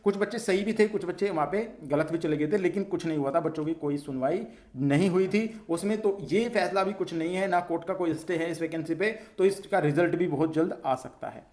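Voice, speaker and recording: A man's voice: a little high, brisk, darkish tone. A man explains an update to students as a channel host, repeating his points as he goes. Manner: repetitive, question-and-answer explaining.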